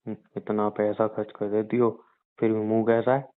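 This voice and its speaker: A man's voice: on the low side, flat delivery, normal speed, clear, resonant tone. A young man teasing his girlfriend sarcastically on a phone call while adding up her shopping sums. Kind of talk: teasing scripted phone banter.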